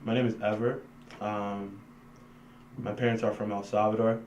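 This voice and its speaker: A young man with an American accent giving a personal testimonial as a student, with hesitant pauses. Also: lowish voice, flat delivery, lo-fi sound, over music. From Washington, D.C., United States. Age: young adult. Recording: echoey room, faint background noise.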